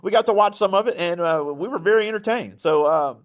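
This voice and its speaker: A man hosting a conversational interview with a guest, speaking as a teacher in a high school classroom. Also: quick pace.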